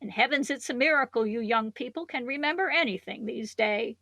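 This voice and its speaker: A fussy old grandmother, acted by a woman, chatters and scolds in a radio comedy. Her voice is high and crackly with fry, recorded close and dry. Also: fairly quick, sharp tone, dry recording. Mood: fussy, exclaiming.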